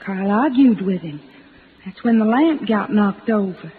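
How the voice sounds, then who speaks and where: A woman's voice: medium pitch, animated, unhurried, lightly acted. An actress playing a frightened young backwoods woman with plain rustic grammar and a hesitant manner in a 1950s radio drama. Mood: subdued, confessing. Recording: old radio, echoing, faint hiss, narrow band.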